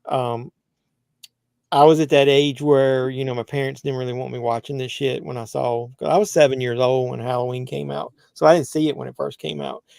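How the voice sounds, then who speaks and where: A man in his fifties hosting a casual live movie chat, relaxed, reading viewer comments aloud. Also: medium pitch, brisk, gravelly, dry recording, clean studio sound. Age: 50s. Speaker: YouTube movie channel host.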